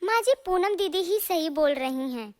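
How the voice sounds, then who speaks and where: A woman playing a boastful, quarrelsome young daughter-in-law who bickers in an animated family story. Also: extremely high pitch, normal speed. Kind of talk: animated moral story dialogue.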